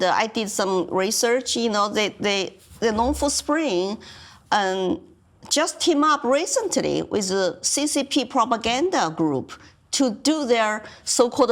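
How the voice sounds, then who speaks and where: A woman with a Mandarin Chinese accent, a guest on a local TV talk show, making her case earnestly and asking rhetorical questions. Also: fairly high pitch. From China. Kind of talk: political talk show discussion. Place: local community TV studio.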